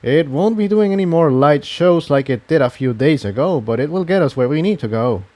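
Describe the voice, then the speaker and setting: Man playing a confident, lively unicorn tinkerer. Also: on the high side, a little fast, lightly acted. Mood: pleased. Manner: reassuring, explanatory. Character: confident male unicorn tinkerer, reassuring and upbeat.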